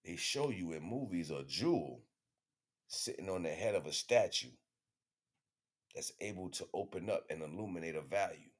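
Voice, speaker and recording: A man delivering an impromptu spiritual monologue in an emphatic, repetitive, slangy street-preacher manner, with a husky voice. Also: low voice, animated, normal speed. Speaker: spiritual teacher streaming online.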